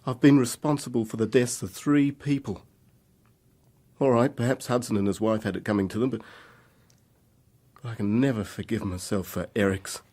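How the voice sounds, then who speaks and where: A man's voice: fairly low pitch, steady tone, normal speed, pauses often, darkish tone, natural voice. An actor in a radio drama playing a troubled young man on the run, speaking in a hesitant, confessional way. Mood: bitter, guilty.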